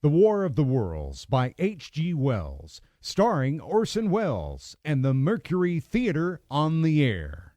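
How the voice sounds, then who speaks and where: A man's voice: fairly high pitch, wildly swooping pitch, slow, hardly any pauses, mellow, soft background music. A man giving a formal scripted announcement as a radio announcer.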